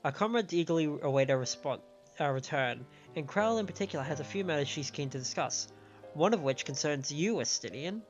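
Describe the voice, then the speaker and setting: A man hosting a game stream, reading story dialogue aloud in a measured way with small stumbles and adding his own commentary. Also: fairly high pitch, animated, dull audio. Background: game music.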